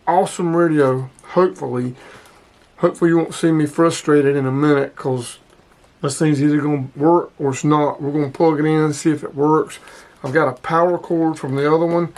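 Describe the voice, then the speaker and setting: A man's voice: a little high, darkish tone. A man giving casual, hands-on unboxing commentary, informally thinking aloud as he handles the gear. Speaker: vlogger unboxing radio gear.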